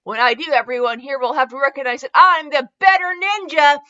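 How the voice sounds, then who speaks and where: A woman voicing a loud, brash young ninja boy in a story, exclaiming indignantly. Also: high voice, brisk, no room sound, highs cut off. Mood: determined.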